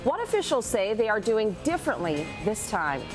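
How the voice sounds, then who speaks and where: A woman's voice: medium pitch, very animated, moderate pace, over music. A woman presenting morning TV news as an anchor, in a conversational style.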